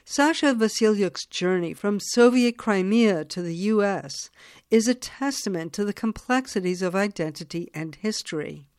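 A woman's voice: mid-range voice, animated, normal speed, a little mellow, no room sound, clean studio sound. Woman host reading a formal intro. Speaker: radio show host. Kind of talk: scripted introduction and interview questions.

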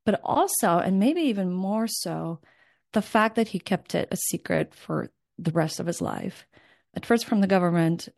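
Woman author, russian first language, kinda low gravelly voice, lots of ums. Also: animated, normal speed, darkish tone. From born in Crimea, raised in Ukraine and Russia. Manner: thoughtful, many ums and restarts. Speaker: author guest. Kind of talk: interview conversation.

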